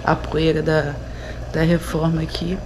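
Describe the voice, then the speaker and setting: A Brazilian woman in her thirties, hoarse from a sore throat, chatting to viewers from her phone at arm's length inside a house under renovation. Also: low-pitched, completely flat delivery, a little slow. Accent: Brazilian Portuguese. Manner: chatty, addresses viewers often. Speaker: vlogger. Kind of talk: casual home vlog. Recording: echoey room with clear background noise.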